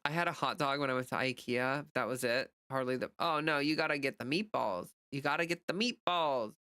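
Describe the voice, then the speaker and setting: A woman's voice: rumbling low, a little fast, fairly bright tone, dry recording, studio quality. An American woman livestreamer chatting casually and off the cuff, rambling informally as she answers viewer comments. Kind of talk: casual unscripted chat.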